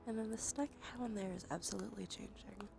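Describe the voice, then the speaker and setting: A woman streamer chatting in a soft, breathy, often whispered ASMR style, murmuring gently as she thinks aloud. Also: mid-range voice, fairly even intonation, fast talker. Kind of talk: cozy ASMR game stream chat. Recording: soft background music. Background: music.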